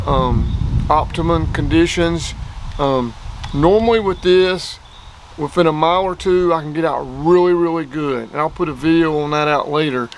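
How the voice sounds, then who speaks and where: A man's voice: on the high side, moderate pace, slight background noise, low-quality recording. A man with a plain-spoken, folksy way of talking, giving a casual hands-on gear review outdoors. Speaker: product reviewer.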